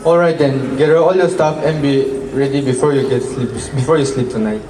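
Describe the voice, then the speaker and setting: Devoted village sweetheart, played by a man, medium-pitched, earnest, folk play, distant roomy sound. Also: fairly even intonation, brisk, close to an everyday voice. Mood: earnest, secretive. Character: devoted poor young village lover. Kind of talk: amateur folk tale acting. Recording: echoey room, distant mic, faint noise.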